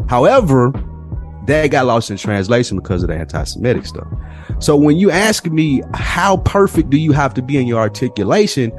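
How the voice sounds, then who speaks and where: A man's voice: mid-range voice, big pitch swings, moderate pace. An American man co-hosting a podcast, talking casually and emphatically at length, repeating phrases to drive his opinions home. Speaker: podcast co-host. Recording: music playing behind the voice. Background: music.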